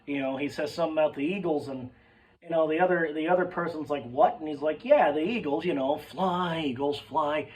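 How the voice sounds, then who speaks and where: A hoarse young man whose voice cracks now and then, talking in a slightly high register. He rambles and loses his thread, swearing casually and calling his listeners folks. He sits a little back from the mic. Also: a little fast, distant mic, rough recording. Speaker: sports fan vlogger.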